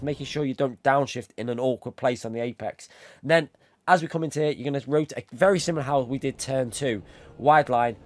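A man's voice: mid-range voice, slight background noise. A man with a cold who is losing his voice, explaining things step by step as host of a racing game tutorial. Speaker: sim racing YouTuber, host. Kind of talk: tutorial commentary over racing game footage.

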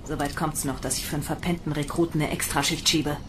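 A woman's voice: low-pitched, steady tone, fast, slight background noise, lightly acted. A woman playing a harsh, domineering female sergeant in a German science fiction radio drama, barking and mocking with sarcastic scorn. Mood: scornful.

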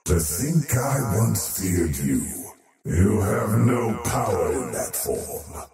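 An actor voicing an arrogant, menacing faceless void creature in a video game, with pompous, ominous and theatrical delivery. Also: male voice, on the low side, very animated, slow, recorded from a distance, full character voice. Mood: scornful. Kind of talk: theatrical game character voice lines. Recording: roomy reverb over background music.

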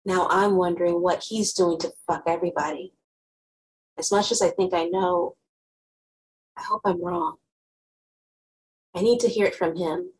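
A woman's voice: on the low side, steady tone, measured pace, pauses often, natural voice, poor audio. A young woman with an American accent playing a candid, streetwise teenage girl, narrating a novel in a dramatic reading. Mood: worried, confronting. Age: young adult. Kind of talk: dramatic book reading, first-person narration and dialogue. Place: indoors in a small home room. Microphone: video call, close to computer.

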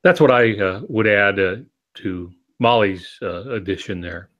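An older man in his sixties speaks in a fairly low voice as a podcast co-host. He explains his ideas instructively over a video call from his home office. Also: measured pace, muffled recording. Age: 60s. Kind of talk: explanatory podcast talk on writing.

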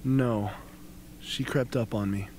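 A young man acts a wounded, devoted young hero in a radio drama. He speaks solemnly and haltingly at a medium pitch, with a faint hum behind him. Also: fairly even intonation, a little mellow, lightly acted. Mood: earnest. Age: young adult. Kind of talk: amateur radio play acting. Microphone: close studio microphone on stand. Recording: faint hum and background noise.

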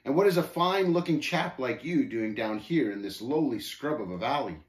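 A man's voice: a little high, animated, normal speed, voice pushed up, lightly acted. A man voicing Shame's part in a story, a haughty scoffer who talks in archaic speech with contemptuous, gloating, snapping taunts. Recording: roomy, echoing voice recording.

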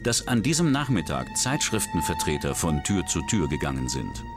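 A man giving scripted true-crime documentary narration in a measured, even way. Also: low-pitched. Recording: studio voice-over with music bed.

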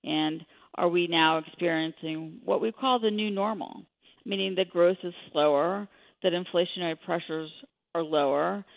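A woman's voice: on the low side, normal speed, vocal fry. A woman speaking as an expert guest in a radio interview, giving commentary on the economy. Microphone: telephone. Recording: narrow, tinny phone line.

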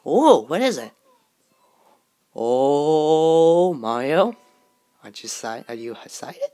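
A woman giving casual, spontaneous reactions to a video in short, excitable exclamations, recorded in a room at home. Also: low-pitched, takes it slowly. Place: indoor room at home, on a bed.

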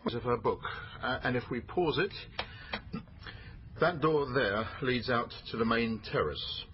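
Man acting a brisk bossy police detective, radio crime drama, bit muffled w/ some noise. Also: mid-range voice, lightly acted. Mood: brisk, commanding. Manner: businesslike, authoritative. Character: brisk male police detective boss running a murder inquiry. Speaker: actor.

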